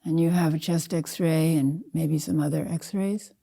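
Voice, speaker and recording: Older woman with a very dark, mellow voice. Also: sits low, fairly even intonation, creaky. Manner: measured, deliberate, spoken in short phrases with pauses. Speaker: Zen teacher leading a guided meditation. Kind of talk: slow guided meditation narration.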